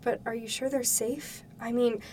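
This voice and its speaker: Woman playing concerned mom, highish voice. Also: steady tone, normal speed, darkish tone, far from the mic, a little hiss or hum, close to an everyday voice. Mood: softening. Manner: conversational, questioning, concerned. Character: caring, mildly skeptical mother, warming to her family. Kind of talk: naturalistic audio drama acting.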